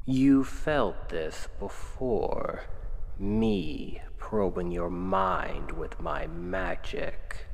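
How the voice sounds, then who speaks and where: A man playing a menacing, smug demon king in an ASMR roleplay, coaxing and domineering in a deliberate, intimate voice that often sinks toward a whisper. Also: lowish voice, creaky, full character voice. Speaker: villain in an audio roleplay. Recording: long cavernous reverb, faint noise.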